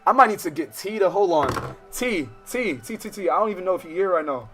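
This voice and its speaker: American male let's-play streamer, lower-pitched than some but ordinary range, slangy, profane, self-interrupting, game music underneath. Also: expressive, brisk, fairly bright tone. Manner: slangy, profane, digressive, interrupting himself while reading. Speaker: livestream host playing a visual novel. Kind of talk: casual let's-play commentary with read-aloud game text. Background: game soundtrack music.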